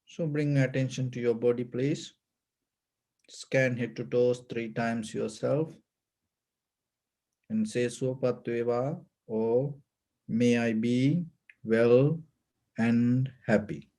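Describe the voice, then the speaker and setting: A man with a Sri Lankan accent leading a guided meditation as a Buddhist teacher, giving gentle, measured instructions with short pauses. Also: mid-range voice, fairly even intonation.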